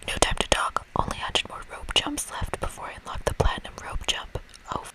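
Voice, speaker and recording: A woman reading a video game aloud in a breathy ASMR whisper, playful and expressive, with casual asides. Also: brisk. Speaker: ASMR gamer reading a game's dialogue aloud. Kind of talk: whispered ASMR let's play.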